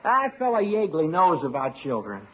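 Through a tinny, rough old radio sound, an enthusiastic young father. His voice is high for a man and bounces around with naive, bookish eagerness. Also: wildly swooping pitch, normal speed, heavily acted. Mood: earnest, enthusiastic. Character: eager, earnest young husband and new father. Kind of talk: 1940s-50s radio sitcom acting.

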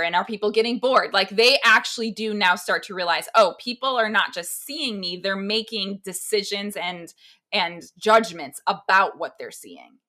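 A woman's voice: mid-range voice, pushed and bright. A woman co-hosting a podcast, chatting casually and explaining ideas step by step with simple examples. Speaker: podcast co-host.

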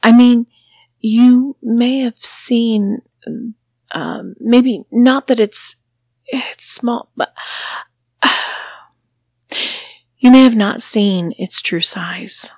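A frightened, timid young man, as a woman voices him in an audio story, stumbling over his words in a breathy half-whisper. The sound is close and dry. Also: fairly high pitch, very slow, pauses often, mellow, dry recording, pitched above the performer's own voice, heavily acted. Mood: nervous. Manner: nervous, stammering, half-whispered.

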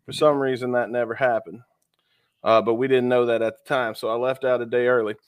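A man's voice: mid-range voice, level tone, a little fast, clear, resonant tone, dry recording. Man, podcast co-host, stuffy nose, sniffly, casual profane chat, dry close sound. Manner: loose and profane, lots of fillers.

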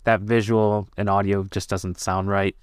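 A man's voice: on the low side, level tone, normal speed, clean tone, dry recording, studio quality. A man who works as a video editor, guesting on a podcast and sharing tips in an easygoing, enthusiastic way. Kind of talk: casual podcast conversation.

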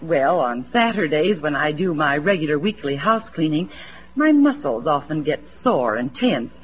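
An actress playing an earnest, chatty housewife giving an emphatic testimonial in a 1940s radio commercial, on a lo-fi recording. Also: female voice, low voice, very animated, moderate pace. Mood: earnest, eager. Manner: emphatic scripted testimonial delivery. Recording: tinny, lo-fi old radio recording.

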